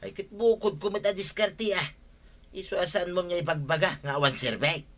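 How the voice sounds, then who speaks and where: A man's voice: high voice, steady tone, normal speed, a bit bright. An actor playing a sly, boastful married man in a Filipino radio drama in Ilocano, with a cocky, chuckling delivery. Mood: indignant.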